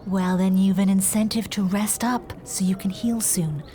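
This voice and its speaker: A woman playing a gentle, patient healer in a fantasy audio drama, with polite, old-fashioned, formal diction. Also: medium pitch, fairly even intonation, normal speed, slight background noise, close to an everyday voice. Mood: caring.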